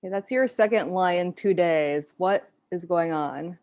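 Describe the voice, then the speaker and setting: A woman's voice: lowish voice, a little slow, a little mellow. A woman playing a frustrated but concerned girlfriend in a live table read, speaking naturally, by turns hesitant and pointed. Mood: exasperated.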